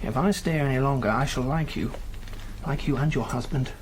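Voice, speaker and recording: An actor playing an earnest, argumentative young socialist intellectual, articulate and declamatory, in a period radio drama. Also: male voice, mid-range voice, a little fast, a little hiss or hum, natural voice, low-quality recording. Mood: wry, fond. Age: young adult.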